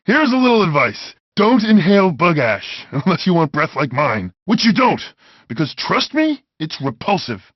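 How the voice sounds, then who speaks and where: A man's voice: sits high, big pitch swings, moderate pace, no echo, muffled recording. A man voicing a big, brawny, good-hearted video game bad guy, wisecracking, boisterous and blunt, in compressed handheld game audio. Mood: wry, easygoing. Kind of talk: video game character voice clips.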